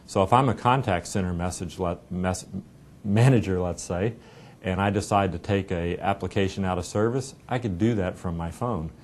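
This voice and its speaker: A middle-aged American man giving a conversational, explanatory software product demonstration in an informal way. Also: deep voice, slight background noise. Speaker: software company representative giving a product demo. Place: indoor meeting room. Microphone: lapel mic.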